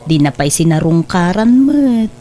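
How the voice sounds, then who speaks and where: A woman's voice: lowish voice, very animated, a little slow, dark tone, a little hiss or hum. Woman acting a caring, supportive aunt. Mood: respectful, concerned. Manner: caring, encouraging. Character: kindly, supportive middle-aged aunt and mother. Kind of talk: Ilocano audio drama acting.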